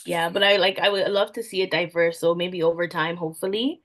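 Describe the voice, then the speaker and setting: A young woman talks through her experiences in a relaxed, conversational way. Her voice sits slightly low, and she leans on "like" as a filler. She sounds unhurried and sincere. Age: young adult. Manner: soft-spoken, frequent "like" fillers. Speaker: event planner guest. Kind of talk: casual interview answers.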